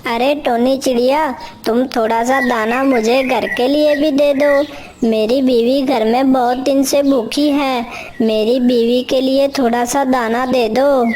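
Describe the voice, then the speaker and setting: Lazy, wheedling male crow for a children's cartoon, played by a woman. High, slow, pleading delivery over a slightly noisy track. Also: steady tone, a little mellow. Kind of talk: Hindi children's cartoon story dialogue.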